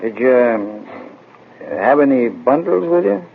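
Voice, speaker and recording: An actor playing a commanding, blunt police inspector interrogating people in a 1940s radio drama. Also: male voice, medium pitch, big pitch swings, unhurried, gravelly, breathy edge, exaggerated voice. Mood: pressing. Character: brusque, commanding police inspector, a grown detective's father. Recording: very noisy, tinny old radio broadcast.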